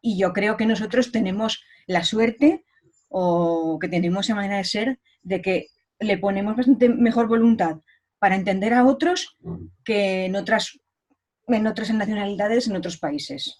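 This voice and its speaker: A woman hosts a conversational interview. Her voice sits at a middling pitch, and she muses out loud, softening each point with hedges. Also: moderate pace. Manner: reflective, hedging with "yo creo". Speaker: interview host.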